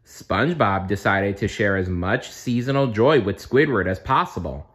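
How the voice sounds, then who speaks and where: A man reading a children's storybook aloud as narrator, in an expressive, dramatic storytelling manner. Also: on the low side, normal speed. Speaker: storybook reader.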